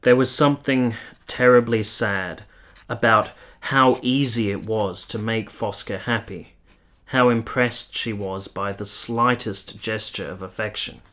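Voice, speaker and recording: An actor playing an earnest, tender young officer in a radio play, speaking and narrating in a measured, sincere, literary way. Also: male voice, lowish voice, steady tone, a bit bright. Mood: reflective, wistful.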